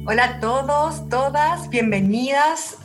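Chilean woman hosting a podcast, higher voice. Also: takes it slowly, over music. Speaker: podcast host.

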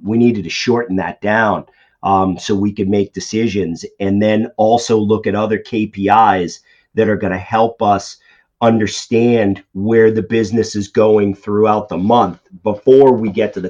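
A man's voice: lowish voice, a little mellow. A middle-aged man with a Boston accent, a finance executive answering interview questions in a conversational way with many ums and restarts. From Boston area. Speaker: CFO guest. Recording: dull lo-fi audio.

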